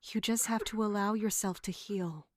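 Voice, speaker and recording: An actress playing a supportive captain in an audio drama, consoling a comrade in a measured, gentle, reassuring way. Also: female voice, mid-range voice, steady tone, no room sound, natural voice.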